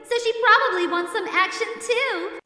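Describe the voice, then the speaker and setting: A woman's voice: very high voice, normal speed, pushed and bright, no room sound. A woman voicing a bratty young anime sorceress with a mocking, brash manner in a comedic audio drama. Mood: smug teasing.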